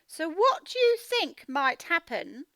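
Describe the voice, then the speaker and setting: Lady phonics teacher reading to small kids, really lively high voice, asks lots of questions. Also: slow, dry recording. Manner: sounds out words, animated questioning of children. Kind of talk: interactive storybook read-aloud lesson.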